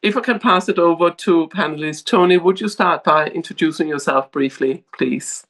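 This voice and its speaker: A woman's voice: lowish voice, moderate pace, a bit bright. A woman moderating a panel, politely thanking speakers and handing over. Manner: polite, gracious hand-offs.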